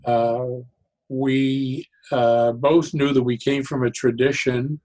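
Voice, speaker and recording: An older man speaking as an interview guest, telling stories conversationally in a reflective, halting way with pauses mid-phrase. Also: mid-range voice, flat delivery, a little mellow, rough recording. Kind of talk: conversational storytelling.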